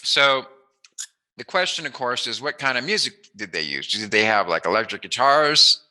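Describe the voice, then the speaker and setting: A man's voice: medium pitch, lively intonation, normal speed, bright, forceful tone. A man hosting a casual interview, talking in an informal, meandering way. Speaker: interviewer, host.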